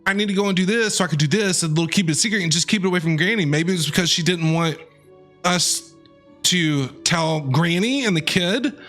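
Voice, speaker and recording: A game streamer reacting live to a story, excitable and talking back to the characters. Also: medium pitch, fairly quick, soft background music. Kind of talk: livestream reaction commentary.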